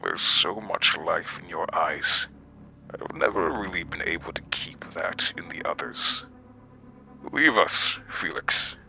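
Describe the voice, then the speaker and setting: An actor playing a sadistic, gloating villain in a horror audio drama, slow, condescending and mock-gentle with menace. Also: male voice, low-pitched, gravelly, a little hiss or hum, exaggerated voice, low-quality recording. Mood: menacing, fascinated. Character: sadistic, gloating male villain, coldly amused and controlling.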